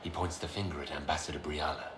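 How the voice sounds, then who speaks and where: A man's voice: a bass voice, steady tone, fast talker, noisy background. A man playing a courteous, wry leader of an inquisition in a video game. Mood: serious. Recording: echoey room, loud music.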